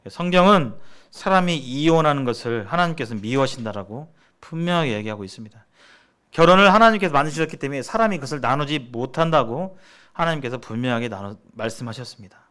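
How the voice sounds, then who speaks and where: A middle-aged man from South Korea teaching in a sermon-like seminar style, explaining haltingly and restating his phrases as he goes. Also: mid-range voice, lively intonation, a bit bright, clear, resonant tone. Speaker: church seminar preacher.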